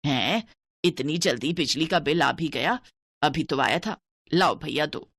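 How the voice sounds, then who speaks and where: A woman's voice: low voice, unhurried, breathy edge, dry recording, pitched below the performer's own voice, full character voice. A woman voicing a stingy, scolding older mother-in-law in a cartoon story. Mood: grumbling. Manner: bossy, penny-pinching, sharp-tongued.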